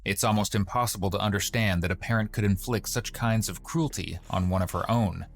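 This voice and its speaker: A man reading scripted true crime narration in a measured, grave way. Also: on the low side, gravelly.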